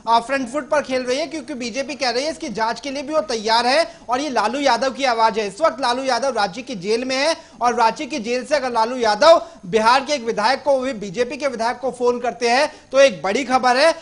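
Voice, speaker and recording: A man's voice: extremely high pitch, fairly quick, hardly any pauses, a bit bright. A man reports live as a news correspondent. He explains and analyses as he goes, often turning straight to the listener with his points.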